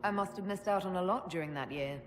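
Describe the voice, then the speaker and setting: An actress playing a composed, determined elven heroine in a video game, earnest, calm and lightly dry. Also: female voice, lowish voice, fast, close to an everyday voice. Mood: concerned. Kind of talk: dramatic video game voice acting. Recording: hall reverb, noisy ambience.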